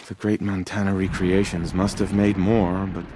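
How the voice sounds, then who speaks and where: Earnest, low-voiced amateur naturalist, noisy background. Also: male voice, flat delivery. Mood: wistful. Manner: wonderstruck, halting, self-important musing with sighs. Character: earnest, eager self-taught male naturalist in a post-apocalyptic tribe. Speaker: non-player character in a video game. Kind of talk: video game dialogue acting.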